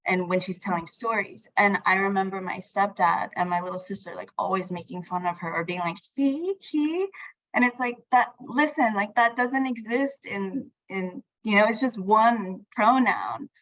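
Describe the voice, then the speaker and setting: A woman whose native language is Tagalog rambles casually in a discussion, often saying "like" as she works through her thoughts. Her pitch is average and the sound is dry. The recording is a little dull and lo-fi. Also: normal speed, a bit bright, no room sound. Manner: rambling, thinking aloud, frequent "like".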